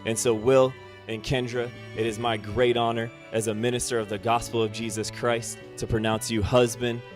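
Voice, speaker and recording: A male minister makes a solemn, measured pronouncement at an outdoor ceremony. He is heard from a distance. Music plays softly behind him. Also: mid-range voice, flat delivery.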